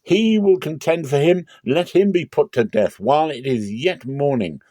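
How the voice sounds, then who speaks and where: A man acts a defiant father in a dramatic scripture reading. His voice is pushed well up and loud, declaiming challenges to a hostile crowd, in a dry close recording. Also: a little high, animated, moderate pace, no echo, voice pushed up. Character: Joash, defiant father challenging an angry mob.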